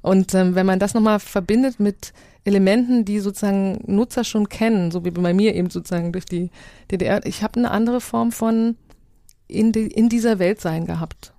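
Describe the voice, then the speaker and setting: Mellow-voiced woman in close, dry sound. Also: mid-range voice, steady tone, a little fast, dry recording. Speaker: radio journalist and panel guest. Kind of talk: casual panel discussion.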